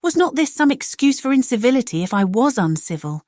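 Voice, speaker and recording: A woman playing a proud young gentlewoman in a dramatized audiobook, formal, measured and cuttingly indignant. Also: fairly high pitch, big pitch swings, no echo, clean studio sound.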